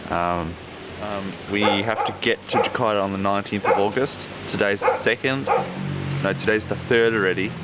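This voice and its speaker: Low-voiced man vlogging over loud outdoor noise. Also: normal speed. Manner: relaxed, many ums, thinks aloud. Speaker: travelling cyclist and surfer, co-host. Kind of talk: casual travel vlog to camera. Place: outdoors by a roadside. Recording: loud outdoor ambience behind.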